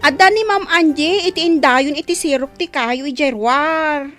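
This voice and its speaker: A woman's voice: high voice, moderate pace, fairly bright tone, soft background music. A woman acting a gossipy, deferential household helper in an Ilocano radio comedy drama. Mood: chatty, eager.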